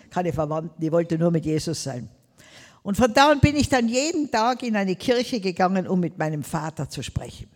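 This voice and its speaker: A woman's voice: on the low side, a little mellow, in a large hall. An older woman from Tyrol with a Tyrolean accent, preaching a sermon emphatically, drawing out words and calling out Amen and Hallelujah. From Tyrol, Austria.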